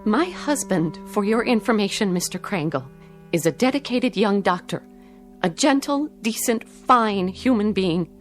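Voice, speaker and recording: A woman's voice: mid-range voice, expressive, unhurried, soft background music, natural voice. A woman in a radio drama playing a young, determined wife, earnest, well-spoken and emphatic. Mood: earnest, proud. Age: young adult.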